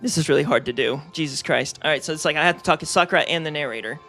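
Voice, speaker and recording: A woman's voice: low voice, very fast, a bit bright, soft background music. A woman casually playing through a game on stream, talking in an exasperated, sweary way. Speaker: live streamer. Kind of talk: casual game playthrough with character voices.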